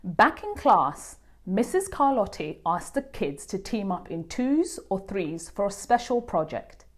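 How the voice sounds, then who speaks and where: A woman reading a children's picture book aloud as a host, with expressive, deliberate storytelling. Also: mid-range voice. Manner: animated, deliberate delivery for children.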